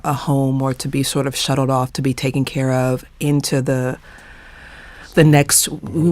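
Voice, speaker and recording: A woman speaking as a panelist in an earnest, reflective discussion, emphatic and deliberate, repeating phrases for weight. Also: rumbling low, darkish tone.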